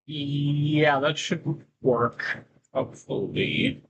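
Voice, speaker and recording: A man doing casual livestream commentary on a game, reading on-screen text aloud and halting to break into asides. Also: a little high, fairly even intonation, a bit bright, breathy edge, dull audio.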